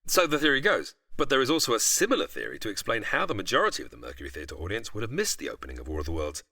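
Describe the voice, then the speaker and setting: A man's voice: fairly low pitch, wildly swooping pitch, very fast, gravelly, pushed and bright, no room sound. A man with a British accent, a podcast guest giving scripted explanations in a narrating style.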